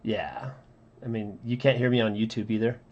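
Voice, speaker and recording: A man's voice: lowish voice, highs cut off. A man hosting a casual livestream while drawing comics, chatting with co-hosts who can't be heard. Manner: relaxed, talks to unheard co-hosts, halting.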